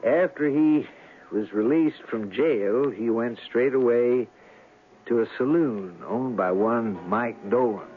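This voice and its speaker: Male actor as wry, genial narrator, mid-pitched conversational delivery, old radio sound with faint music. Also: takes it slowly, phone-quality sound, close to an everyday voice. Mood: wry, reminiscing. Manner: conversational, reflective storyteller. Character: genial, wry male writer narrating his own tale. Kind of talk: old-time radio drama storytelling.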